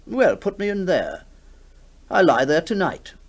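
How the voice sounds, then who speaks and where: A man acts out an imperious country squire for a 1960s radio play. He is hearty and impatient, with a commanding manner and pitch that swings freely. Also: very animated, measured pace, heavily acted. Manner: commanding, clipped, dismissive. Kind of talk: 1960s radio drama acting.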